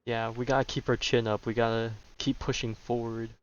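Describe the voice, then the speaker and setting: A man's voice: medium pitch, level tone, a little fast. A man giving casual livestream commentary as he plays a game. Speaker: game streamer. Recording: slightly dull, lo-fi mic. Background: game music.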